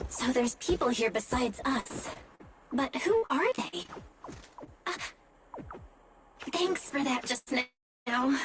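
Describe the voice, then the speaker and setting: An actress voicing a spirited, alert and caring young female guide in a video game, with an expressive, exclaiming delivery. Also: high-pitched, takes it slowly, long pauses, background noise. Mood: worried. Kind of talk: English game voice acting. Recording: loud music over the dialogue.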